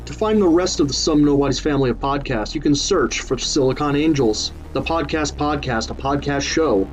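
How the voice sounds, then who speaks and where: A man's voice: a little high, normal speed. A man reading scripted podcast credits and promotional notes as an announcer. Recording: dull lo-fi sound, music underneath. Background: background music.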